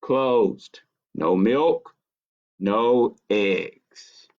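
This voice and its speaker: A man reading a children's story aloud as a storytime host, playful and deliberate in his delivery. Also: lowish voice, darkish tone. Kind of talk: expressive children's picture-book storytelling. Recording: dull lo-fi stream audio.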